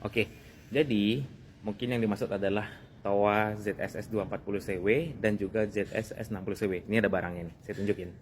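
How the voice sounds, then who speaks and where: A man's voice: fairly low pitch, steady tone, fairly quick. Man explaining casually over background noise. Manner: repeats phrases for emphasis, conversational. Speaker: sound technician and video host. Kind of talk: casual explanatory vlog talk.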